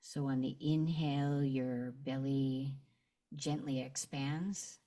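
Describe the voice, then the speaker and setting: A woman leading a guided meditation and visualization in a gentle, unhurried manner with long pauses. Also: very deep voice, level tone.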